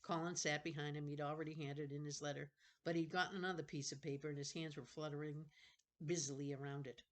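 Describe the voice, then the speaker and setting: A woman's voice: low voice, steady tone, fast. An older woman reads a novel for school-age children. Her storytelling slips easily into informal, rambling asides, where she stops and corrects herself as she goes. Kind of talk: read-aloud storytelling with chatty asides.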